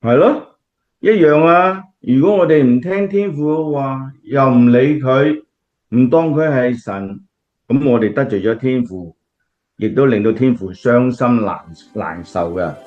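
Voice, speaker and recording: A man's voice: medium pitch, a little slow, natural voice. Man playing an earnest Cantonese preacher, slightly muffled. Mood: earnest preaching. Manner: explanatory, earnest, emphatic. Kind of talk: radio drama acting, sermon-like.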